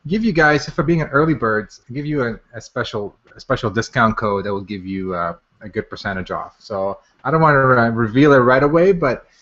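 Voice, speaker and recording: Male webinar host, mid-pitched, informal and off the cuff, repeats himself, slightly muffled lo-fi sound. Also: fairly quick, hardly any pauses, a bit bright. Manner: informal, repeats phrases as he goes. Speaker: software maker hosting a product launch webinar. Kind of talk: casual unscripted live webinar presentation. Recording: dull, lo-fi webinar audio.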